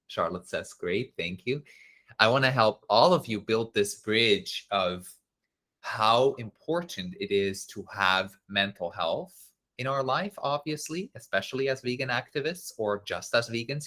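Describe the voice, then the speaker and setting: A man's voice: on the low side, animated, unhurried, bright, forceful tone. A man from Switzerland, hosting a live online masterclass in a casual, chatty way with easy laughs. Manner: casual and chatty, laughing easily, with many fillers. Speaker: masterclass host. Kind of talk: live-streamed online class, reading viewer comments.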